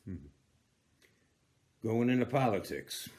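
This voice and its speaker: A man acting a homespun father figure in an amateur stage monologue. He speaks very slowly and haltingly, with big swings in pitch, musing as he passes his wisdom to a boy. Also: expressive, a little mellow. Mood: folksy, musing. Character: folksy fatherly man giving a boy life advice. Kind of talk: comic monologue, amateur theatre.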